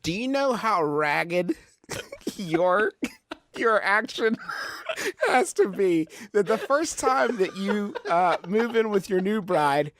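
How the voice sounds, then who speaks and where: A man's voice: sits high, sing-song, takes it slowly, a bit bright, slight background noise. A man hosting a comedy podcast, reading out listener questions and bantering casually.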